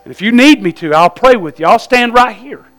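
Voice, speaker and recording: Man, Southern US accent, very high pitch, bright forceful tone, folksy evangelistic preacher, exhortatory, repetitive phrasing. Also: lively intonation, brisk, faint music behind. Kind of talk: evangelistic church sermon with questions to the congregation.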